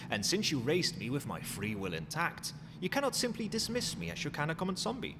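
A man's voice: on the high side, wildly swooping pitch, fairly quick. A man playing a courteous, cheeky undead skeleton butler in an ASMR roleplay, with a formal, theatrical manner. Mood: earnest, cheeky. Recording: long hall reverb, noisy ambience.

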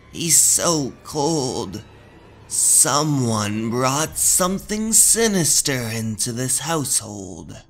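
A man's voice: mid-range voice, fairly bright tone, pitched above the performer's own voice, exaggerated voice. A man voicing a dramatic old woman healer in a horror story, alarmed and ominous, drawing out the words. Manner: alarmed, ominous, drawn-out delivery.

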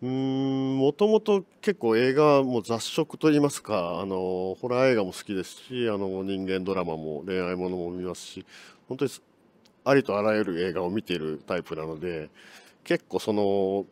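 A middle-aged film director answering interview questions, speaking quickly with many fillers. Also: male voice, low voice, darkish tone.

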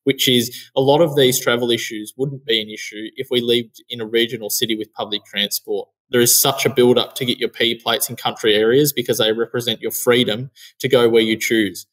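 A man's voice: medium pitch, fairly even intonation, fairly quick, hardly any pauses, fairly bright tone, poor audio. A young man with an Australian accent hosting a casual chat over a video call from an office, reading parts from a script. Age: young adult. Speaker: host. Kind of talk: casual interview with partly read script. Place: office room, indoors. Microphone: headset on video call.

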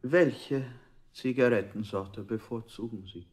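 A man's voice: mid-range voice, a little slow, darkish tone, natural voice. A man playing an interrogator in a radio play, putting formal, probing questions. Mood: coolly probing. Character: male interrogator, probing with absurd polite questions. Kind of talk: avant-garde German radio play acting.

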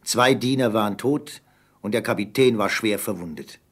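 A man's voice: fairly low pitch, moderate pace, husky. A man narrating an adventure radio play in a scripted storytelling style.

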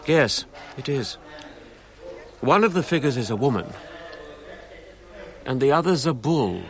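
An actor playing a reserved, authoritative British archaeologist in a radio drama, speaking formally with clipped phrasing. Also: male voice, mid-range voice, animated, unhurried, lo-fi sound. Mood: intrigued, dry.

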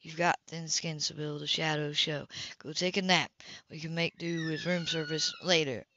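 A cocky, easygoing man in an audiobook, acted out by a woman, teasing and sure of himself. The pitch sits a little low, and the dull recording is quite dry. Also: steady tone, bright, forceful tone, no room sound. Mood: gentle, coaxing. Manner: teasing, unhurried, confident. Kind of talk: audiobook character dialogue.

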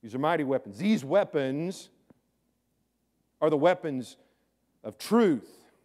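A middle-aged pastor preaching in a large church sanctuary. His voice sits fairly high for a man. He talks to the congregation in a chatty way, then swells louder to drive a point home, often doubling his words. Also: sing-song, slow pace. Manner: conversational preaching with sudden rises in volume and repeated words. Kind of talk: sermon.

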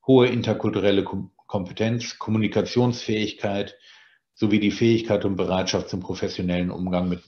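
Deep-voiced bilingual man giving a webcam lecture. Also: a little mellow. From Germany and England. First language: German and English, bilingual. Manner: explains slide points, frequent "äh" fillers. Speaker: translator giving a presentation. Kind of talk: online lecture presentation. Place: home study with bookshelves. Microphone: webcam on a video call. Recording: roomy, dull lo-fi sound.

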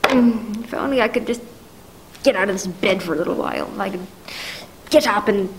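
A woman's voice: medium pitch, normal speed, lightly acted. A young woman acting a nervous, demanding bedridden wife who stammers fretfully, in a 1940s-style radio thriller play. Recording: noticeable background noise.

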